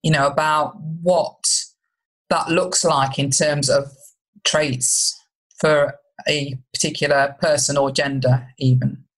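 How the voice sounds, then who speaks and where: A woman with a slightly low voice hosts a relationship podcast. She chats in a friendly, conversational way and sometimes restarts her sentences as she goes. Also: steady tone, slow pace, rough recording. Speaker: podcast host, relationship coach. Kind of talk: casual interview chat.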